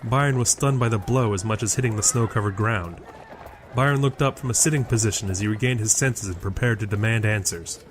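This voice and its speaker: A man's voice: fairly low pitch, a little fast, a little hiss or hum. A man reading scripted narration for an audio drama. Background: loud music.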